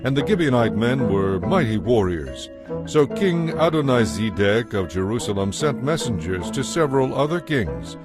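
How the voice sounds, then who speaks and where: A man narrating scripture from a script in a measured, solemn, dramatic way. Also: fairly low pitch. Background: music.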